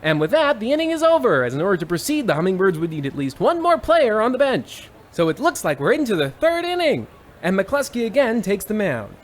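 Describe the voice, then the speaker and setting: A man playing an excitable, folksy old-time radio baseball announcer with theatrical, exclamatory patter. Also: high-pitched, fairly quick. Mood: excited. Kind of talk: 1940s radio sports commentary parody.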